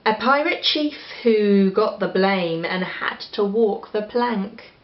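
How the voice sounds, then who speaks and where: Woman, English accent, expressive storybook reader, measured pace, echoey room, slightly muffled sound. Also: medium pitch. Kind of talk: expressive children's story reading.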